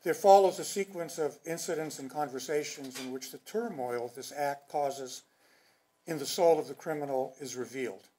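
An older man with a soft voice reading a prepared paper aloud at a conference podium. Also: fairly high pitch. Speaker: conference speaker. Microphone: podium microphone.

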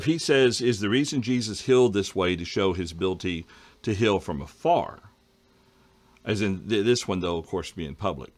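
A middle-aged man hosting a casual Bible study discussion over a video call, reading viewer questions and often clearing his throat. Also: low-pitched. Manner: conversational, frequent throat clearing. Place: home study with bookshelves.

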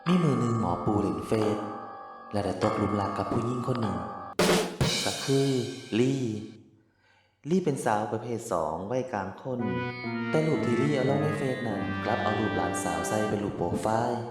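Deep-voiced Isan man narrating. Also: lively intonation, moderate pace. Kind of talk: comic cartoon narration. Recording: echoey with loud music.

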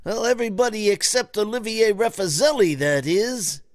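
An actor playing an earnest, inquisitive detective who also narrates a comedy audio drama. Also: male voice, sits high, normal speed, no room sound, clean studio sound, natural voice. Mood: reasoning. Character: earnest, inquisitive male private-eye sleuth and narrator.

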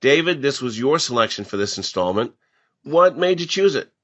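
A man hosting a podcast, presenting clearly scripted details and putting questions to a co-host. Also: medium pitch, lively intonation, normal speed, a bit bright, lo-fi sound. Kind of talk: presenting and interviewing.

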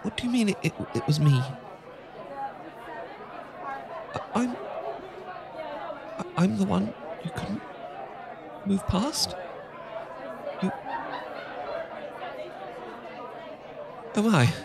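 A bashful young British professor, acted by a man for a romantic audio roleplay. His voice sits a little high and he stammers and gushes in halting, tipsy politeness. People chatter in the background. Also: lively intonation, vocal fry, full character voice. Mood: moved, flustered. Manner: stammering, halting, gushing, old-fashioned polite. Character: bashful, flustered young male English professor, smitten and tipsy. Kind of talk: romantic ASMR roleplay acting.

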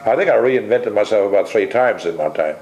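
An older man originally from the Netherlands, being interviewed at home and talking bluntly, with plenty of swearing and pauses. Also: on the low side, lively intonation, darkish tone, reverberant. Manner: blunt, salty, rambling with many pauses. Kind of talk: casual interview. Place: kitchen at home, indoors.

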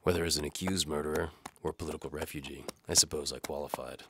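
A man narrating a first-person noir-style audio drama in a wry, deadpan manner. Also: rumbling low, fast, a little hiss or hum.

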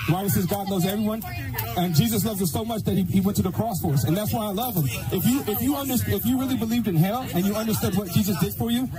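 Male street preacher, high voice, pushy and stammering, with loud music playing under the clip. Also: quick pace. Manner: insistent, stammers and repeats words. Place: outdoors on a street.

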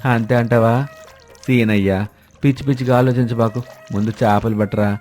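Man acting out young village fisherman, friendly, earnest, mellow tone, cartoon moral tale, soft music behind. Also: medium pitch, steady tone, fairly quick, lightly acted. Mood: friendly banter. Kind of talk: animated moral story dialogue.

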